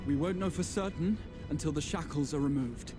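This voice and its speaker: A man's voice: fairly high pitch, brisk, natural voice. A man voicing a grave, regretful adventurer in a fantasy game, with formal, somber phrasing. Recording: game music behind voice.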